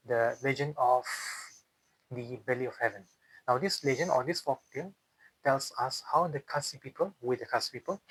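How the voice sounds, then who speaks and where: A man's voice: mid-range voice, fairly bright tone, breathy edge, no room sound, low-quality recording. Man, Northeast Indian accent, oral storyteller, explanatory, repetitive phrasing, dry recording. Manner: explanatory, repeats and rephrases phrases. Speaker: interviewee telling a folk tale. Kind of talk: unscripted oral storytelling in an interview.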